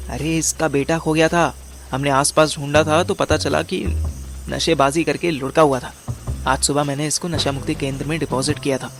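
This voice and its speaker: A man's voice: on the high side, slight background noise, close to an everyday voice. A man playing a bossy, irritable young detective boss in an audio drama, slangy and impatient, mixing in English words. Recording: music under the voice.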